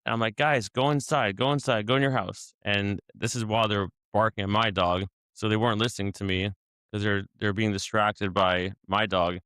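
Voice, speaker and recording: A man's voice: on the low side, fairly even intonation, normal speed, fairly bright tone, clear, resonant tone, dry recording, studio quality. A man casually reflecting to his viewers about anger and daily life, talking in a searching, conversational way with many asides and restarts. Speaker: vlogger sharing daily reflections.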